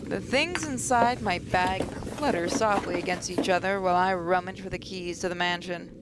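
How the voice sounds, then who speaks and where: A woman's voice: fairly low pitch, moderate pace, very noisy. A woman hosting a gaming video, reading a visual novel aloud with asides and occasional stumbles. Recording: voice over game music.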